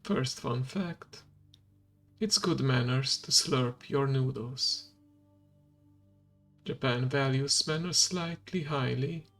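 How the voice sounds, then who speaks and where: Man, native Hungarian speaker, husky whispery voice, faint music behind. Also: medium pitch. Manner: slow, hushed and gravelly, drifting into whisper. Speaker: VTuber streamer. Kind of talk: soft-spoken ASMR stream.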